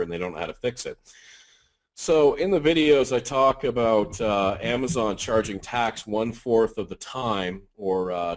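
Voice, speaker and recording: A man giving a casual business webinar update, working through percentages out loud with a reassuring, rambling manner. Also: on the low side. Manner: casual, talks math out loud, frequent fillers and restarts, reassuring. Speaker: company leader on webinar.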